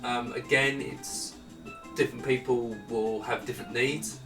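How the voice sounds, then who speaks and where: A man with a creaky, fry-edged voice talks through a casual product demonstration. He rambles and thinks aloud as he goes. The room echoes around him and the microphone sits at a distance. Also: mid-range voice, steady tone, measured pace. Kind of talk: casual off-the-cuff tech demo. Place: indoor room with retro computers. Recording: roomy echo, distant mic, some music.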